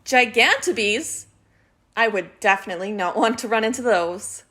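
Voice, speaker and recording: A woman's voice: mid-range voice, lively intonation, normal speed, very noisy. A woman hosting a student radio play show, introducing the next production. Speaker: host introducing the plays.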